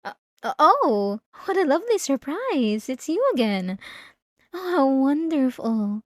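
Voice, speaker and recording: High-voiced, sweetly obsessive church girl, acted by a woman. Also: very animated, dry recording, studio quality. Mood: delighted. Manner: hesitant, halting, cloyingly apologetic, feigned innocence. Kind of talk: ASMR roleplay drama, addressed to the listener.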